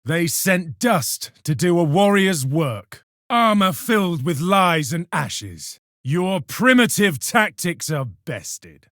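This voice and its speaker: A proud, contemptuous space warrior declaims his video game battle lines with solemn zeal. His voice sits fairly high for a male and moves dramatically up and down. The delivery is measured, militant and commanding, captured close and dry. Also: big pitch swings, fairly bright tone, dry recording, heavily acted. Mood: cold contempt.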